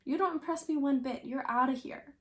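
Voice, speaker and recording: A woman with a clearly high-pitched voice reads scripture aloud. Her delivery is that of a prepared recitation from a written text. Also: a little fast, fairly bright tone. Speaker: scripture reader.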